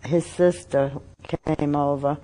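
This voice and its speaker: An older woman from Austria telling her story in an oral history interview, halting, with many fillers and pauses. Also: deep voice, steady tone, warm tone, low-quality recording.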